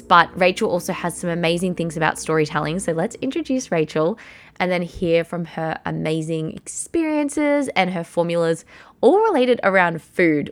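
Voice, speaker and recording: A woman hosting a podcast interview in an upbeat, enthusiastic way. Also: lowish voice. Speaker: podcast host.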